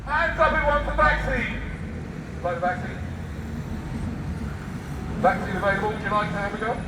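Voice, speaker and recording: A man's voice: sits high, animated, normal speed. An English man reading a newspaper aloud in the street with sarcastic, declamatory commentary and muttered asides. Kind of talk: satirical public read-aloud with ad-libbed commentary. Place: outdoor public street. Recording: distant mic outdoors with faint traffic noise.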